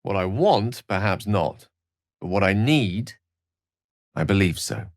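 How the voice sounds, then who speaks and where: An actor playing an erudite, wry and courteous wizard in a video game, with eloquent, precise, theatrical phrasing. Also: male voice, deep voice, expressive, slow, no room sound, clean studio sound, heavily acted. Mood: solemn. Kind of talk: video game character acting.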